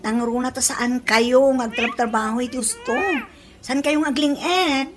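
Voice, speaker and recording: A woman's voice: high voice, a little slow, background noise. A woman with a Filipino accent playing a cheeky, campy young salon worker in a radio comedy drama, teasing and blunt. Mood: blunt, apologetic.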